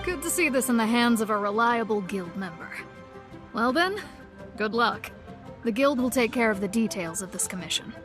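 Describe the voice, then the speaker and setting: A woman playing a young, poised and knowing guild receptionist in an anime-style game, speaking in a measured, courteous, explanatory way. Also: on the high side, animated, natural voice. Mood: courteous, informative. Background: game music.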